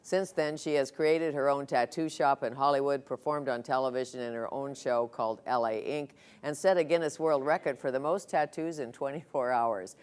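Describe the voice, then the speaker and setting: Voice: medium pitch, fairly even intonation, clean tone. An announcer reading a scripted voice-over introduction for a TV segment. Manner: measured, scripted delivery.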